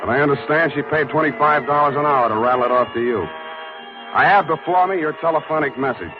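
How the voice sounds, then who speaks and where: An actor playing a wry, cynical American private detective in a brusque 1940s radio drama style. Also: male voice, fairly high pitch, quick pace, music in the background, close to an everyday voice. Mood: weary, dry. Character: wry, hard-boiled male private detective. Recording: dull, lo-fi 1940s radio recording.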